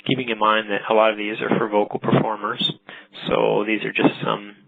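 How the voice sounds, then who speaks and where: A man narrates a product tutorial in a casual, halting way. His voice is low and raspy with a creaky edge. It comes through a narrow, phone-like line. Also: little pitch movement. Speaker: product demonstrator.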